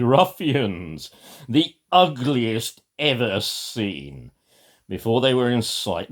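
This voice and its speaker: A low-voiced older man reads from a storybook at an unhurried pace. His pitch rises and falls dramatically as he performs the text, then drops into dry, chatty asides. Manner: theatrical, dramatic delivery broken by dry muttered asides. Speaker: amateur storybook reader.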